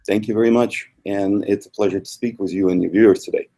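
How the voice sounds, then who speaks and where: A middle-aged man from Ukraine with a Ukrainian accent, a guest speaking in a measured way with small slips and restarts. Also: fairly low pitch, fairly even intonation, dark tone. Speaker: guest, institute co-founder. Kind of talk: video interview.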